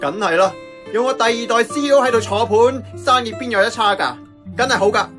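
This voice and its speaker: A man's voice: very high voice, a little fast. A man playing a brash, defensive nephew who manages the family diner in a radio drama, speaking in an exclamatory way with a Hong Kong accent. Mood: boastful. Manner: cocky, exclamatory. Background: music.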